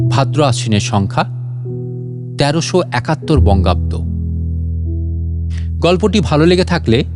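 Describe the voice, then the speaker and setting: A man narrating a comic story expressively, as an audiobook storyteller. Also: on the high side. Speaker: storyteller, channel host.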